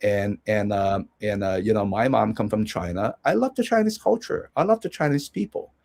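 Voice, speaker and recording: A man's voice: fairly low pitch, expressive, moderate pace, gravelly, a little mellow. A man from Hong Kong, a guest analyst giving rambling conversational commentary with many restarts and check-ins.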